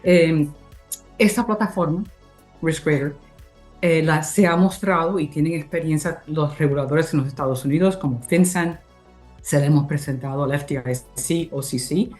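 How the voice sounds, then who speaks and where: A low-voiced woman from Cuba hosts a webinar over faint music. Spanish is not her first language, so she searches for words as she gives semi-formal opening remarks. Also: moderate pace, a little mellow. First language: not Spanish (Spanish is a second language). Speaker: host introducing a webinar.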